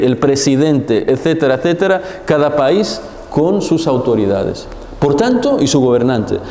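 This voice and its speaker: A man giving an Islamic religious lesson, animated and full of rhetorical questions. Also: on the high side, a little hiss or hum. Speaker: religious teacher. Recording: echoey hall with long reverb.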